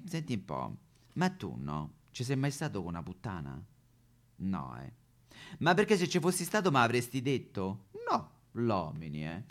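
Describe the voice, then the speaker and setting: A man's voice: medium pitch, a bit bright. A man playing a brash, weary female prostitute with a Roman accent, in a coarse, slangy comic monologue.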